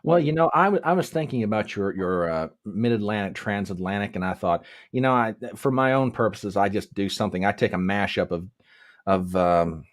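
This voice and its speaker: A man hosting a relaxed interview, teasing his guest and asking long, rambling questions. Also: on the low side, a little fast. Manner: playful, teasing, lively questions. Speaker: podcast host. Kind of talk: casual interview chat.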